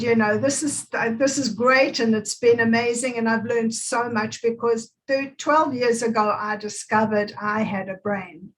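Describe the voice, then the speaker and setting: A woman in her sixties with a South African accent, a movement therapist talking slowly with many fillers as an interview guest. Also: fairly high pitch, low-quality recording. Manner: slow, thoughtful, with many fillers. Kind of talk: casual interview talk.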